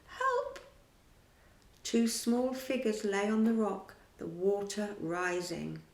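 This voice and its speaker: A woman reading a children's storybook aloud in a slow, expressive way. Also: mid-range voice, gravelly, roomy sound.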